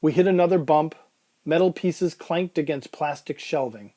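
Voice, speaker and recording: A man acting out an uneasy patient in an audio drama, brooding over his own surreal ordeal. His voice sits a little high but stays soft-edged and mellow. He narrates deliberately, in the first person. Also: lightly acted.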